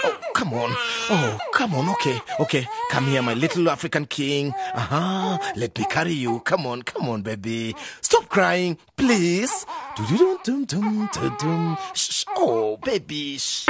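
A man's voice: a little high, very animated, moderate pace, gravelly, loud music behind the voice. An actor playing a harried young father who talks in a coaxing, exasperated way in a radio drama. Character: harried young father, patient but frazzled.

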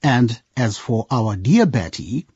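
A man's voice: mid-range voice, very animated, slow pace, darkish tone, husky, no room sound, dull audio, heavily acted. A man narrating a radio drama as a storyteller addressing listeners in scripted style. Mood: storytelling.

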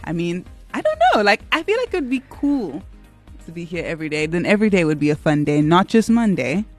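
A woman's voice: lowish voice, sing-song, a little fast. A young woman co-hosting a radio show in casual, bubbly chat, rambling with filler words. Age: young adult. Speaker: radio co-host. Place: radio studio. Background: faint music bed.

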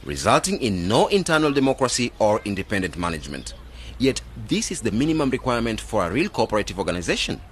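A man's voice: fairly low pitch, very animated, fast, a bit bright, slight background noise. A man acting in a radio drama as an earnest, idealistic, determined young graduate planning a village project.

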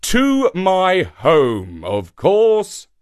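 A friendly, scheming shark from a storybook folktale, played by a man. He coaxes emphatically in a raised pitch with big swings and a slow, deliberate pace. He comes across as gullible and a little anxious. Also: huge pitch swings. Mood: impatient. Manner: coaxing, emphatic.

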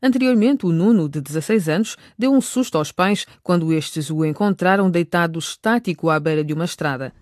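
A man narrating a radio drama, recapping events in a clear, measured way. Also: a little high, no echo, studio quality.

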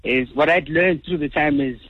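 Man, South African accent, raspy, reflective guest, dry slightly muffled radio sound. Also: a little high, moderate pace, dry recording. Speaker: guest, animator and studio founder. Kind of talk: casual radio interview.

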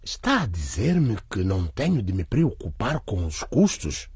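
An actor playing a grudging, suspicious middle-aged father in a radio drama, husky and grumbling, humming and hawing. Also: lowish voice, big pitch swings, measured pace, a little mellow, no echo, clean studio sound, heavily acted. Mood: sceptical. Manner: hesitant, grumbling, hums and haws.

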